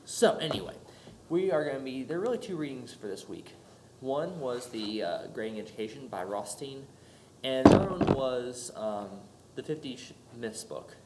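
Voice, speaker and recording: A man's voice: medium pitch, animated, moderate pace, very noisy. A young man with a stuffed-up cold giving a casual recorded class presentation, rambling with many fillers and restarts. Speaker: student presenter.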